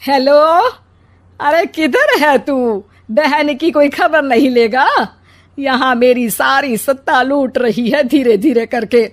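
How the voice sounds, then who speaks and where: A woman's voice: high voice, animated, takes it slowly. A woman voicing a grumbling, demanding older mother-in-law in a comic storybook tale, whining and exclaiming theatrically. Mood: aggrieved, whining. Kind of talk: acted comic Hindi storybook dialogue.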